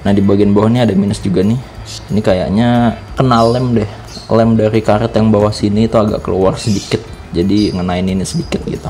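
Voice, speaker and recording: A man's voice: lowish voice, steady tone, brisk, dark tone, a little hiss or hum. A man with a Jakarta Indonesian accent giving a casual product review, explaining things informally step by step as a vlogger.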